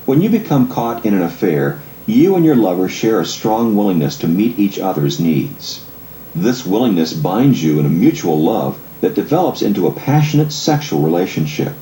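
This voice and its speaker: A man reading scripted audiobook narration in a measured, articulate, explanatory way. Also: sits low, sing-song, dark tone, echoey room, a little hiss or hum, low-quality recording.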